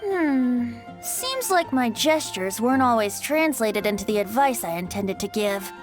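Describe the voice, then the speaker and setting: Boyish young bard, high-pitched, bouncy intonation, breezy, mischievous, anime game, playful music behind. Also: female voice, lively intonation, a little slow.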